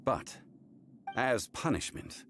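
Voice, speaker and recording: An actor playing a composed, stern, scholarly man in a video game, speaking formally and gravely in measured phrases. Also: a bit bright. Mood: grave, calm. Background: game music.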